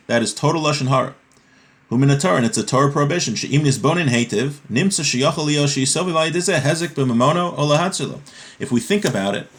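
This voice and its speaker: A man's voice: medium pitch, a bit bright. A man teaching religious law in a didactic way, reading out phrases from a text and then translating and explaining them. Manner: didactic, often checking with "right?".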